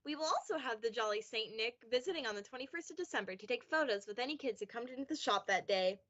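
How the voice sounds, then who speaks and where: A woman's voice: fairly high pitch, lively intonation, fast talker, a bit bright, lo-fi sound, a little hiss or hum. A woman playing a cheery shop saleswoman reading a scripted radio advert. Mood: cheery, promotional.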